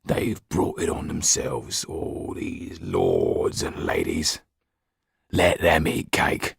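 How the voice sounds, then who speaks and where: A man's voice: extremely low pitch, sing-song, slow pace, gravelly, slightly rough, no echo, clean studio sound, heavily acted. A man voicing a callous, mercenary coachman in an audiobook, muttering with indifference.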